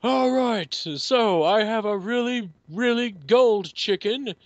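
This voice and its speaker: A dim, boastful cartoon duelist, put on by a man, pitched high above an everyday voice. He drags out his words with mocking wrestler bravado. The recording is dry and close. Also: slow pace, no echo, highs cut off. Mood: mock bragging.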